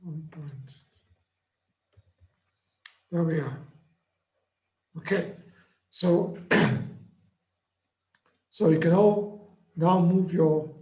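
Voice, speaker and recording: Hoarse male trading mentor, high voice, patient and repetitive, echoey room. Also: warm tone. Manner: patient, repeats key points. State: hoarse, lost voice. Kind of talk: live trading lesson.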